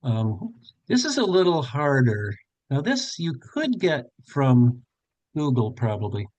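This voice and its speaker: An older man runs a live online workshop for tutors, narrating each step as he goes. His voice sits in an ordinary middle pitch, and he talks it through with plenty of fillers and self-corrections. The sound is dry and close, like a video call from a home office. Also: wildly swooping pitch, slow pace, a little mellow, no room sound, poor audio. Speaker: tutor trainer. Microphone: webcam on a video call.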